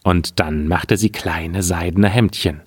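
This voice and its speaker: Low, slow-paced male storyteller. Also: breathy edge, no echo, clean studio sound. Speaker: storyteller, podcast narrator. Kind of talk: scripted fairy-tale narration.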